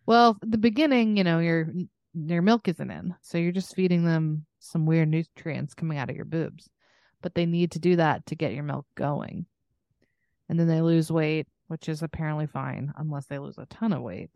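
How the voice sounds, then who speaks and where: A young woman with a lowish voice chats on a podcast in a dry, echo-free recording. She speaks informally and off the cuff, wandering through her thoughts as if talking to a friend. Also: moderate pace. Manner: informal, rambling, thinking out loud. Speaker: podcast co-host. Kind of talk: casual unscripted chat with a friend.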